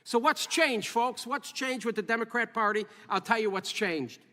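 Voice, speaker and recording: Man, politician, high and bright, formal emphatic speech, long echoing hall. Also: normal speed. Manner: emphatic, rhetorical questions to the audience.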